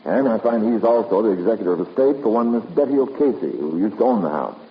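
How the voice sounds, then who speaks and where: Man acting a skeptical investigator, direct, brisk, 1950s radio drama, dull noisy recording. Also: on the low side, expressive, natural voice. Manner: direct, businesslike, questioning.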